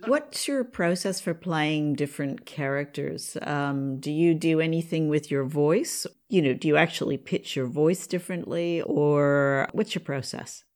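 Low-pitched woman hosting an interview. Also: measured pace, a little mellow. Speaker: podcast host, writer and producer.

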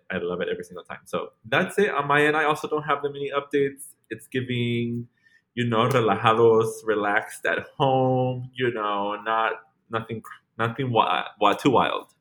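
Low-voiced man in his twenties, chatty. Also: fairly bright tone. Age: 20s. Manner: chatty, rambling, frequent "like" and restarts. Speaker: podcast co-host. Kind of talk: casual chat.

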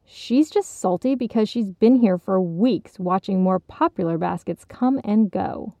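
A woman voicing a gentle, welcoming male pretzel gift basket in a story, soothing and patient. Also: mid-range voice, a little slow, gravelly, dry recording.

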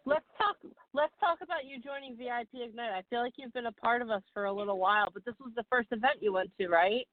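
A woman hosting a podcast interview in an excited, gushing manner. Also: fairly high pitch, fast talker, no echo. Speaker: podcast host. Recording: muffled phone-line sound.

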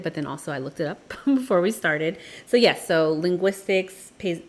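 A woman co-hosting a casual debate podcast, laughing often and shifting between quick and drawn-out phrasing. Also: sits low. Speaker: podcast co-host.

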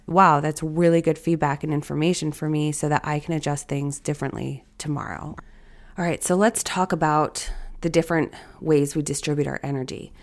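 A woman in her thirties hosting a solo podcast, her voice raspy and hoarse, talking in a reflective, encouraging way straight to her listeners. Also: low voice. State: hoarse from allergies or illness. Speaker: podcast host.

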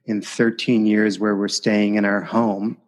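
A man in his forties hosts a podcast with a lowish, steady voice that hardly rises or falls. He tells stories in a relaxed, reflective way, letting his lines trail off softly. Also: measured pace. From Windsor, Ontario, Canada. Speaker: podcast host. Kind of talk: casual interview chat.

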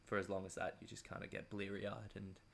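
A man talking casually as an interview guest, in a halting, rambling way with a self-amused air. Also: fairly low pitch, monotone, vocal fry.

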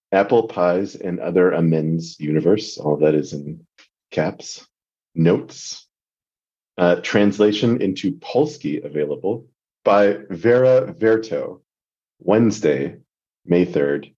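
Low, husky-voiced man, dull recording. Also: mellow. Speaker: guest reader on a podcast. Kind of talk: casual chat and amateur read-aloud of fan fiction. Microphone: remote video call.

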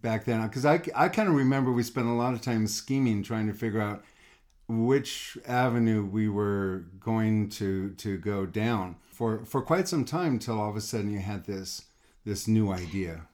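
A man's voice: fairly low pitch, moderate pace, darkish tone. A man hosting an interview as the guest's former coach, asking questions in a friendly, encouraging way and often restarting his sentences. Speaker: host, coach. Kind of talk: interview conversation.

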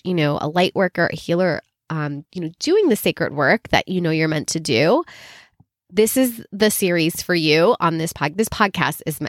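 A woman in her thirties hosts her podcast in a chatty, rambling way, full of fillers. Her voice is nasal and a little scratchy from a cold, pitched fairly low for a woman. The sound is dry and close, with no room around it. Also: no room sound. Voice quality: slightly scratchy. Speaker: podcast host. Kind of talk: casual solo podcast chat.

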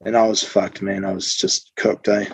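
A man, a musician guest telling tour stories in casual interview chat, relaxed and full of ums and the odd swear. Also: sits low, normal speed. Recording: slightly dull dry recording.

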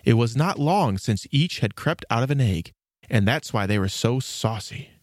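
A man's voice: mid-range voice, lively intonation, moderate pace, dry recording, clean studio sound. A man reading scripted storybook narration as the narrator of a fairy tale.

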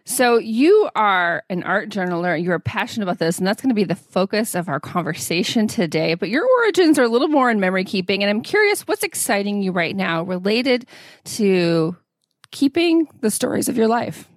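A woman's voice: medium pitch, big pitch swings, fairly quick, no echo, studio quality. A woman hosting a podcast and interviewing a guest in an upbeat, enthusiastic way. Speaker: podcast host. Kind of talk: podcast interview, scripted intro.

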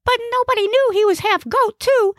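A woman's voice: very high voice, moderate pace, a bit bright, no room sound, studio quality. A woman voicing a dim, gluttonous, carefree furry male creature in a comic audiobook, chattering in childlike mangled words, blithely oblivious. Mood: chattering excitedly. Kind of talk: comic audiobook character acting.

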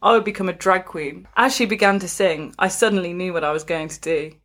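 Lowish voiced woman podcast host reading stuff out, giggly, chatty asides. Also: steady tone, fairly quick, a bit bright. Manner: giggly, often breaking off to comment. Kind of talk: casual chat and amused reading aloud.

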